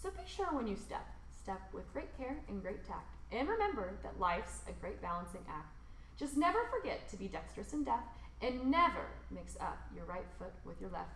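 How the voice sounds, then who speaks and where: A woman reads a rhyming children's picture book aloud expressively, with theatrical emphasis and drawn-out words. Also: medium pitch, big pitch swings, fairly bright tone, reverberant, slight background noise, rough recording. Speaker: storybook reader.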